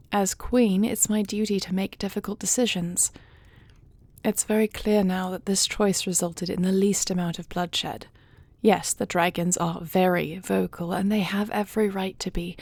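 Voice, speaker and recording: A woman playing a dragon queen and devoted wife in an audio roleplay, speaking in a formal, measured, earnest way. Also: mid-range voice, gravelly, a little mellow, no echo.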